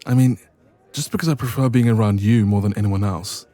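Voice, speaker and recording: A man's voice: fairly low pitch, brisk, warm tone, close to an everyday voice. A man playing a bashful, evasive best friend with a secret crush in an intimate romance audio roleplay. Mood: embarrassed, hesitant. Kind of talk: romance ASMR roleplay, intimate one-sided conversation.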